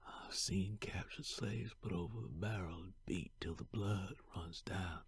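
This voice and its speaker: Man acting husky, low-voiced young runaway. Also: measured pace, no echo, exaggerated voice. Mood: hushed, wary. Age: young adult. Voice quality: husky, gravelly, breathy. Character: young enslaved man, weary, determined, fleeing to freedom. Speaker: voice actor. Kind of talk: dramatic first-person historical narration.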